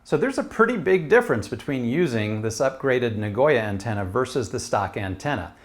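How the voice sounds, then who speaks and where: A man's voice: mid-range voice, animated, moderate pace. A middle-aged American man giving a casual, explanatory product review to camera in a conversational way. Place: small room at home. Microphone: lapel mic.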